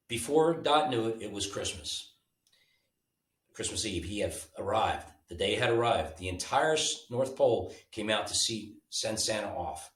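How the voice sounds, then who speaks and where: A man reading a children's bedtime story aloud in an animated, informal way, like a storyteller with a picture book. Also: lowish voice. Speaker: storybook reader. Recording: roomy, mic at a distance.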